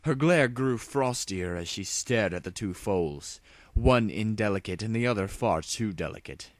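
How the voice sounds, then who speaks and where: A man's voice: on the low side, lively intonation, unhurried, no room sound. A man narrating a story as a tongue-in-cheek dramatic reading.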